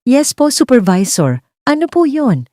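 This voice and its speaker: A woman's voice: high voice, sing-song, unhurried, music in the background, pitched above the performer's own voice. Lady playing a shy young widow shop girl in a love story, super polite, voice goes up and down. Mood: eager, obliging. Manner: deferential, brief answers.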